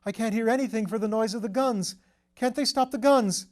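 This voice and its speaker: A man's voice: high-pitched, a little fast, a little mellow, pitched above the performer's own voice, close to an everyday voice. A man voicing a shell-shocked young English lord in an audiobook, feverish, frightened and pleading. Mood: panicked. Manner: urgent, agitated, pleading. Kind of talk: audiobook character voice.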